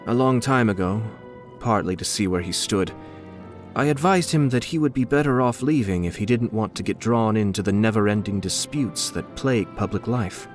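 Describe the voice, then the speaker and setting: A man voicing a refined, suave young nobleman and clan head in a video game, coolly shrewd, with polished, measured, formal diction. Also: mid-range voice, close to an everyday voice. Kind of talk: scripted video game character dialogue. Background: background music.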